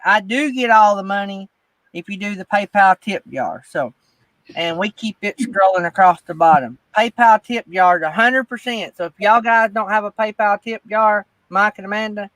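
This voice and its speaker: Male livestream host, Southern US accent, high pitched, dry recording, informal chat. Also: moderate pace, fairly bright tone, no room sound, rough recording. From northeast Alabama, United States. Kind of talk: casual chat.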